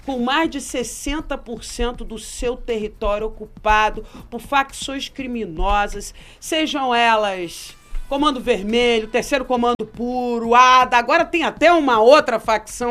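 A woman's voice: on the high side, moderate pace, fairly bright tone. Rio lady hosting, eager and chatty, faint music behind. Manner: eager, chatty, supportive interjections. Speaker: interview host. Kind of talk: interview talk.

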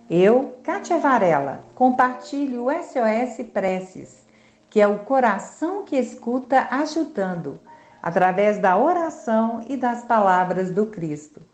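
A woman making a radio announcement for a prayer line, inviting listeners in a warm appeal. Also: on the high side, expressive, slow, echoey room.

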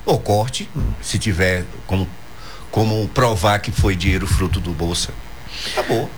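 A man's voice: deep voice, unhurried, a little hiss or hum. Blunt, opinionated radio co-host man. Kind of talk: casual radio talk show.

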